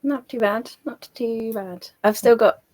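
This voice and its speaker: A woman on a fan podcast panel, slightly hoarse, chatting and correcting herself as she talks. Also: fairly high pitch, unhurried, a little mellow, dry recording. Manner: chatty, hesitant, self-correcting. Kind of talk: casual fan podcast chat.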